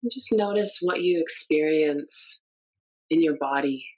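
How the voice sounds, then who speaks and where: A woman leading a guided meditation in a gentle, unhurried, inviting way. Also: low voice, low-quality recording.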